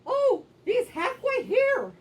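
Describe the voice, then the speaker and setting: A woman performing scripted puppet show narration, telling things in an animated way for children, recorded at some distance from the microphone. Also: extremely high pitch, takes it slowly. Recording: distant microphone, faint background noise.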